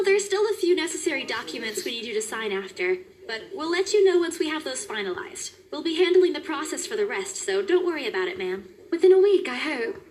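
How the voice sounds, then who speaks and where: A woman's voice: high-pitched, slight background noise. A woman voicing a wealthy, gushing client in a visual novel, affectionate and sweetly bossy, calling everyone darling. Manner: affectionate, calls people darling.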